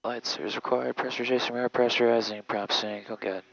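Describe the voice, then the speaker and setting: A man's voice: mid-range voice, brisk, creaky. A man working as a pilot, talking through checklists and radio calls in clipped phrases over an aviation headset. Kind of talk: flight vlog cockpit talk and radio calls. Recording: muffled aviation headset, steady cabin noise.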